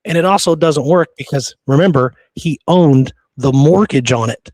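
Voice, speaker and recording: A man with a fairly high voice talks history casually as a podcast host. He argues his points with emphasis and some exasperation. The sound is very dry, with no room echo. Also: moderate pace, a little mellow. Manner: emphatic, argumentative, exasperated delivery.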